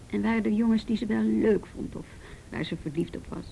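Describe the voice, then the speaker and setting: Dark-voiced, brisk policewoman. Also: mid-range voice, vocal fry, a little hiss or hum, low-quality recording. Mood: gently insistent. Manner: probing, matter-of-fact, dry. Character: brisk, persistent policewoman questioning colleagues and a witness. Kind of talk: radio drama acting.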